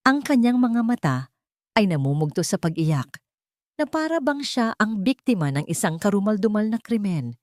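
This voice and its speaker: A woman narrates a scripted drama as a storyteller. Her voice sits a little low. The sound is very dry, with almost no room to it. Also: dry recording.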